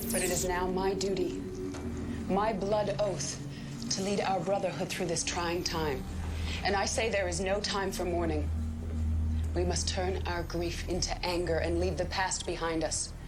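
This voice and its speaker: Music plays clearly behind an actress playing a commanding woman who leads militants in a video game. She speaks in a slightly low voice, formal and declamatory, full of resolve. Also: steady tone, unhurried, pauses often, natural voice. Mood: solemn resolve. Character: resolute, commanding female militant leader rallying followers. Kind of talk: dramatic video game cutscene oratory. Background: clear background music.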